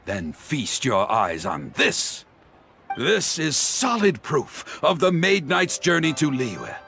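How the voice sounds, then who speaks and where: An actor playing a dramatic street storyteller in a game, telling heroic tales grandly with suspenseful pauses. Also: male voice, fairly high pitch, sing-song, gravelly, bright, forceful tone, exaggerated voice. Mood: boastful. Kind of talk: theatrical storyteller narration. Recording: background music under voice.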